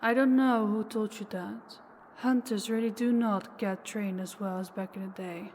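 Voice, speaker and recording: A woman playing an ancient, powerful vampire in an audio roleplay, slow, deliberate and condescending, with calm menace toward the listener. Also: medium pitch, close to an everyday voice. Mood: mocking, unimpressed. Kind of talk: intimate scripted audio roleplay addressed to listener. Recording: long hall reverb, faint background noise.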